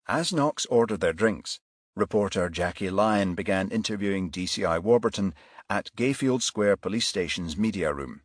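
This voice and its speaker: A man's voice: deep voice, animated, moderate pace, dry recording. Dry, close-sounding male audiobook narrator. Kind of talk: scripted crime thriller narration.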